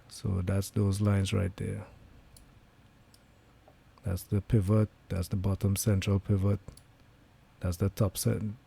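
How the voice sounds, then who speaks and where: A man with a hoarse voice giving casual live commentary on his currency trades, thinking aloud with many fillers. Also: lowish voice, warm tone. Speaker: trading livestreamer.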